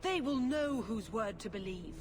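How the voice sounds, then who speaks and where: An actress playing a self-righteous church leader who accuses and preaches. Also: female voice, fairly high pitch, animated, normal speed, music in the background, lightly acted. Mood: accusing.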